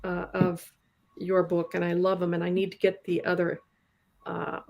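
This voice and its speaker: A woman hosting a casual interview, chatty and quick to react and interject. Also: on the low side, completely flat delivery, gravelly, dull audio. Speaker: show host.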